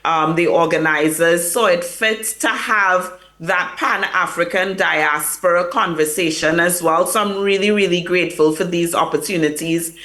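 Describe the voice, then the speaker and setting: Bright-voiced woman publicist, earnest. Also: fairly low pitch, normal speed, hardly any pauses. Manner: earnest, reflective, many fillers and restarts. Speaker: publicist, interview guest. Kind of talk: remote interview answers. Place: hotel or room in New York, remote link.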